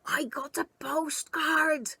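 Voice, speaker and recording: A woman's voice: high-pitched, little pitch movement, slow pace, creaky, pushed and bright, no room sound, pitched above the performer's own voice, heavily acted. A woman voicing a small, wild, impatient female storybook creature, indignant and haughty, with emphatic delivery. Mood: excited.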